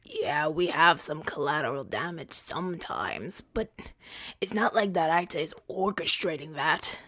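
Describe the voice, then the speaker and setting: A man's voice: a little high, lively intonation, measured pace, sharp tone, no echo, heavily acted. An earnest rebel hero from a fantasy audio drama, acted by a man, argues his side in a natural, stumbling way. He sounds defensive and exasperated, speaking close to the mic in a very dry space. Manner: conversational, stammering, exasperated arguing. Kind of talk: ASMR-style audio roleplay acting.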